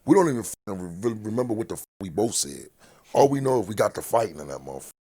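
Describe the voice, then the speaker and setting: A middle-aged man from Philadelphia speaks as an interview guest in a big, low voice. His tone is gravelly and husky, and he talks bluntly and profanely, in a deliberate way. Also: big pitch swings, dark tone. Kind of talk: casual interview talk.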